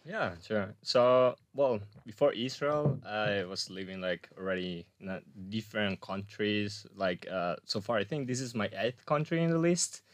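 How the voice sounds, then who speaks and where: A young man talks in a lowish voice, pausing often to search for words. His sound is close and dry, with no room around it. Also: no echo. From Colombia. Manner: searching, with many fillers and uh's. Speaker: graduate student guest. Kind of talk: casual interview answers.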